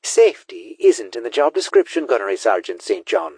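A tough female marine, voiced by a male narrator in a sci-fi audiobook. The pitch is lifted and the delivery is crisp, dry and military. She sounds dutiful and unsentimental. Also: sing-song, a little fast, a little mellow, no room sound, exaggerated voice. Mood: defiant, crisp.

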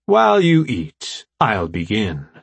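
A man voicing a formal, logical artificial intelligence robot leader in an audiobook, explaining things in precise, measured language. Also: lowish voice, huge pitch swings, creaky, breathy edge, dry recording, exaggerated voice. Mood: solemn, explanatory. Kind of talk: audiobook character dialogue.

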